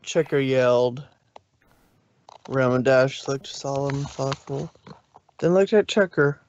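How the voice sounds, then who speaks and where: A male narrator reads a scripted story slowly, with measured drama. His mid-pitched voice comes through a slightly dull, muffled home recording. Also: a little hiss or hum.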